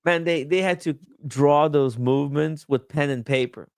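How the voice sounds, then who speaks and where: A man hosting a casual livestream chat, relaxed and chatty, laughing easily. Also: on the high side, normal speed, vocal fry, clean tone, no echo, clean studio sound. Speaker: host.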